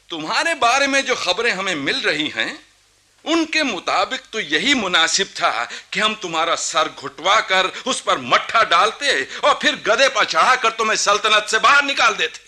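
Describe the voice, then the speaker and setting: A proud young Nawab in a historical radio play. His voice is high, forceful and very bright, swinging widely as he accuses and commands in formal courtly diction. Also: very animated, unhurried, exaggerated voice. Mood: stern anger. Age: young adult. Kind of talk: theatrical Hindustani radio drama acting.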